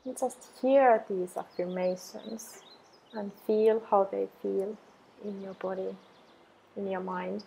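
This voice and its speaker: A woman guiding an affirmation meditation in a soothing, measured way, with long pauses between deliberate phrases. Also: mid-range voice, steady tone, gravelly, dark tone, a little hiss or hum, rough recording. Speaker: meditation guide.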